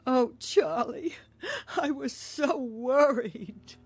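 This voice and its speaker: A woman's voice: sits high, extremely slow, husky, heavily acted. An actress playing a fretful, doting mother of a grown son in a radio drama, anxious and fussing. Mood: worried, tender.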